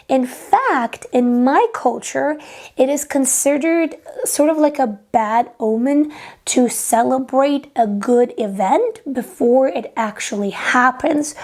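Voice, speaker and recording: High-voiced young woman from Latvia. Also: a little mellow. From Latvia, Eastern Europe. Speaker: sewing vlogger. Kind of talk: casual personal vlog.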